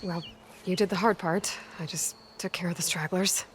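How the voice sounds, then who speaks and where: A woman's voice: lowish voice, fairly even intonation, moderate pace, a little hiss or hum, lightly acted. A woman playing a determined young warrior in a video game, guarded and solitary, with a terse, businesslike delivery. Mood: grave, guarded. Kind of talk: naturalistic video game cutscene acting. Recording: music behind the voice.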